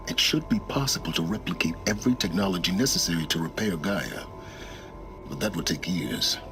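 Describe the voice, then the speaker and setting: A grave, learned male guide in a game drama. His deep voice moves expressively as he lectures in formal, measured phrases. Music plays behind him. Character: grave learned man guiding a young woman remotely. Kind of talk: video game dramatic acting.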